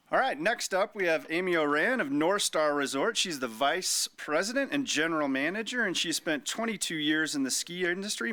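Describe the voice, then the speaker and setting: A man moderating a community meeting, briskly introducing speakers and calling for questions. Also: fairly high pitch, pushed and bright, no room sound. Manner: brisk, announcing. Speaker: event moderator. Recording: faint crowd and noise in a meeting room.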